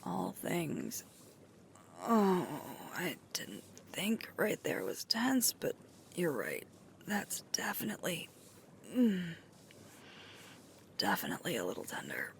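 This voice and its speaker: A woman playing a sweet, tired alpha werewolf girlfriend in an intimate roleplay ASMR, murmuring softly and breathily close to the microphone. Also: fairly low pitch, wildly swooping pitch, a little hiss or hum, exaggerated voice. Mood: concerned, gentle. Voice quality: breathy, creaky, often whispering. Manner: slow, soft, unhurried intimate murmur with pauses.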